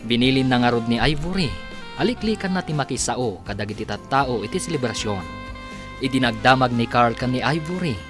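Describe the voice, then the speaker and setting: A man with a Filipino accent giving dramatic scripted narration as a radio drama announcer. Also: mid-range voice.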